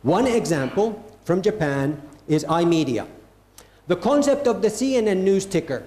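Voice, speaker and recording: A man's voice: sits high, sing-song, normal speed, darkish tone. A man from Finland, giving an emphatic conference keynote talk and repeating phrases for stress. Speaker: conference speaker. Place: event hall with an audience. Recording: echoey hall.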